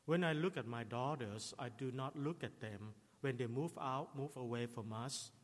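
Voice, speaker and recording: A middle-aged man whose first language is Thai, preaching a sermon in English in a slow, measured way. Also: medium pitch. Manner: slow, measured delivery with non-native English phrasing. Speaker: pastor. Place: church. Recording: long reverb of a church hall.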